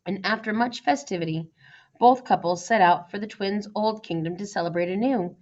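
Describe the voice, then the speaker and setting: A woman's voice: medium pitch, moderate pace, a little mellow, muffled recording. A woman reading a children's story aloud as its narrator. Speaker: storybook reader.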